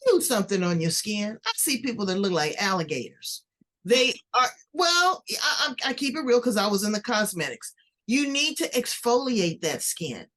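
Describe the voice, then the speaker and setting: A woman's voice: medium pitch, big pitch swings, moderate pace, a bit bright. A woman podcast host talking casually and frankly, repeating words for emphasis. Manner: frank, chatty, emphatic with repetition. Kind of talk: casual conversational interview.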